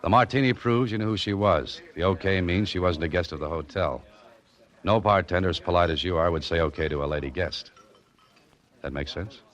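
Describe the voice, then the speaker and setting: A wry, hard-boiled detective. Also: male voice, deep voice, a little fast, a bit bright. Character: wisecracking hard-boiled male detective narrator. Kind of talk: 1940s radio acting.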